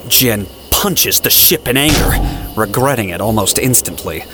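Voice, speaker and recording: A man's voice: mid-range voice, expressive, moderate pace, loud music behind the voice. A man narrating an audio drama in a scripted, dramatic storytelling style.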